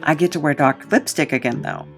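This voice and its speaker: A woman's voice: low voice, sing-song, a little fast, gravelly, soft background music, heavily acted. A woman playing a quirky, self-loathing drifter with a rambling, sardonic, conversational delivery in an audio drama. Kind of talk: naturalistic audio drama acting.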